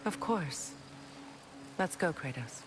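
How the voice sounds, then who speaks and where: An actress playing a regal, compassionate warrior goddess and leader in a video game, measured, formal and sincere. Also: female voice, sits low, animated, slight background noise. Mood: sincere, composed. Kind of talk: dramatic video game acting.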